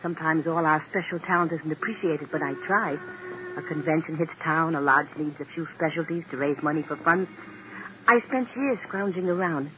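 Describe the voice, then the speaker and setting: A woman's voice: deep voice, moderate pace, close to an everyday voice. Woman as cooing theatrical agent, noisy vintage radio. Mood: self-satisfied. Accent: American. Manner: cooing, purring. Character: gushing, self-satisfied female theatrical agent. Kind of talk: classic radio drama acting. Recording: dull, noisy old radio recording.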